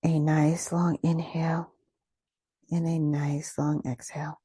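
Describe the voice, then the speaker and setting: A woman leading a guided meditation, giving gentle, soothing instructions with unhurried phrasing and pauses. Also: low voice, flat delivery, breathy edge, no room sound, studio quality.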